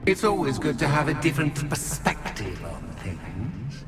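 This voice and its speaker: A man's voice: a little high, big pitch swings, unhurried. A man playing a mischievous, taunting trickster spirit in a video game, with a theatrical, teasing, sing-song delivery. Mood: sly, mocking. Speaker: game character. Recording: loud music over the voice. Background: loud game music.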